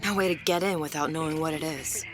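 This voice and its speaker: A woman's voice: sits low, quick pace, over music, natural voice. An actress voicing a cool, capable, observant young woman in a video game, matter-of-fact. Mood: focused. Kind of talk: video game drama acting.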